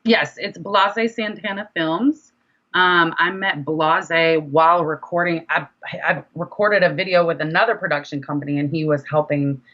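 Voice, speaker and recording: Bright-voiced, chatty musician being interviewed. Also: medium pitch, fairly even intonation, normal speed, lo-fi sound. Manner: chatty, informal, often repeats phrases for emphasis. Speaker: interview guest, independent rapper. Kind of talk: casual conversational interview.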